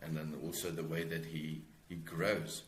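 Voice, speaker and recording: A man in his forties with a South African accent, talking reflectively as an interview guest in a hesitant, halting way. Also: very deep voice, flat delivery, roomy sound. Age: 40s. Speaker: guest author.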